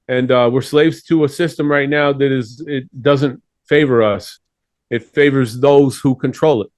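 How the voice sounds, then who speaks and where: A man's voice: a little high, no echo, clean studio sound. A man from Chile, talking earnestly and at length as a guest in a casual interview. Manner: earnest, rambling.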